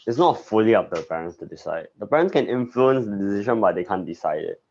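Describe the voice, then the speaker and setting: A man's voice: fairly low pitch, very animated, fast, darkish tone. A man answering briefly as a student in a class discussion, over a dull call recording. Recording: dull, slightly noisy call audio.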